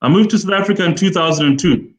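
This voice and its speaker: A man from Zimbabwe in his forties, a football development official, explaining his career at a measured pace in a live online interview, with frequent fillers. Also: sits high. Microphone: video call.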